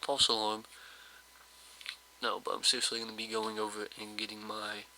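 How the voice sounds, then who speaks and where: A man who sounds slightly hoarse from just waking up, giving casual, rambling commentary over his gameplay with lots of hesitations and fillers. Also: lowish voice, completely flat delivery, normal speed, bright, forceful tone, a little hiss or hum, rough recording. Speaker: let's play host.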